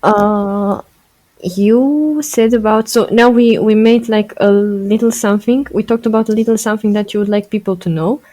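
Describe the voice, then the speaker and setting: A woman's voice: mid-range voice, fairly even intonation, moderate pace, mellow. A young woman hosting a volunteer podcast, asking questions in loose phrasing with fillers. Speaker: podcast host. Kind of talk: casual interview questions.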